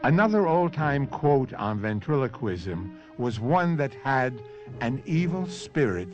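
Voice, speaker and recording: A man hosting and narrating a 1970s radio mystery series in a measured, suspenseful, dramatic scripted style. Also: medium pitch, big pitch swings, lo-fi sound, over music.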